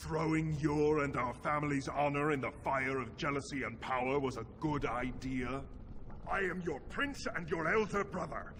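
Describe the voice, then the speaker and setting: A man's voice: a little high, normal speed, fairly bright tone, natural voice. Man playing a haughty dwarven prince, noisy background. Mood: furious, commanding. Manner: haughty, formal, commanding. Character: proud, outraged dwarven prince, stern elder brother. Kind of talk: dramatic fantasy game acting.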